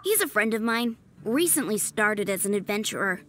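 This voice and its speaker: A woman's voice: medium pitch, big pitch swings, normal speed, fairly bright tone. A woman playing an earnest, eager young aspiring knight in a video game, enthusiastic and modest. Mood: weary but friendly. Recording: faint background music.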